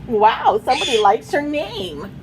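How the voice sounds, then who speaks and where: A woman reading a children's picture book aloud in an expressive storytelling manner, chatting in asides to her pet. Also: sits high, unhurried, slight background noise. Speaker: read-aloud host, teacher.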